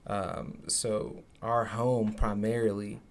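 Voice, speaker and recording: A man's voice: lowish voice, flat delivery, slow, a little hiss or hum. A man from Zimbabwe who is a podcast guest, telling stories in a casual, earnest way with many asides. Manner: earnest storyteller, many "you know" asides. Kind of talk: casual conversation.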